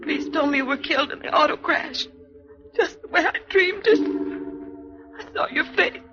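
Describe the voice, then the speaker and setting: An actress playing an anxious, devoted middle-aged housewife, fretful and pleading, in 1940s radio drama style. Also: female voice, high voice, moderate pace, natural voice. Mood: tearful, terrified. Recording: muffled, noisy vintage radio recording.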